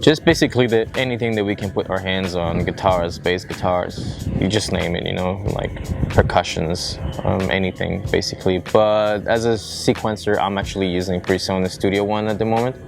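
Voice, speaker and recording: A man's voice: low-pitched, fairly even intonation, moderate pace, gravelly, music in the background. A man from Brazil, a musician, giving casual interview answers in an informal, rambling way. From Brazil, half French. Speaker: musician and producer in a band.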